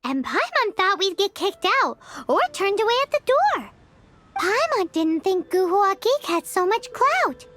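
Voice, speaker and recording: A woman's voice: very high voice, sing-song, a little slow, dry recording, heavily acted. A woman voicing a tiny, sassy fairy companion girl in a game, who talks about herself in the third person. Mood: surprised. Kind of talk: game voice acting. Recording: loud game music behind.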